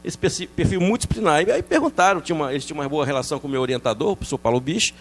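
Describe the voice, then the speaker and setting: A middle-aged man from France, a researcher explaining his work in a TV interview, quick and animated, with many restarts and fillers. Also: a little high. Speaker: researcher guest.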